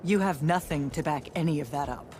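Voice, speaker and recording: A woman acts a brisk battlemage commander in a fantasy game. Her delivery is stern and clipped, full of authority, with noticeable ambience behind it. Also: deep voice, a little mellow, a little hiss or hum, lightly acted. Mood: terse, skeptical. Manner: terse, commanding. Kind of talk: video game acting.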